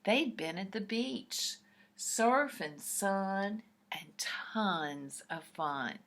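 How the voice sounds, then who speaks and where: A woman reading a picture book aloud to young children in an expressive, deliberate, explanatory way. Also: medium pitch.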